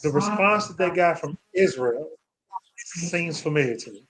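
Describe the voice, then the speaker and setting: American guy hosting bible study, kinda high voice, thoughtful and halting. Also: lively intonation, a bit bright, rough recording. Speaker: host of a scripture study. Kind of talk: reflective Bible discussion.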